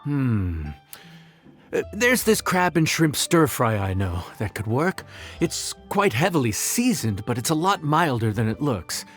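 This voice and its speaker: A man's voice: mid-range voice, very animated, measured pace, fairly bright tone, faint music behind. A man playing an easygoing, confident cook in a video game cutscene, talking in a wry, friendly way. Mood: helpful.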